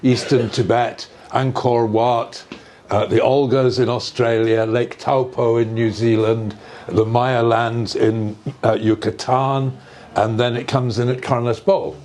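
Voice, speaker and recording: An older man giving an informal, measured explanation in conversation, often checking with rhetorical questions. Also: mid-range voice, little pitch movement, a little mellow. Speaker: speaker on earth energies and ancient sites. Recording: noticeable background noise.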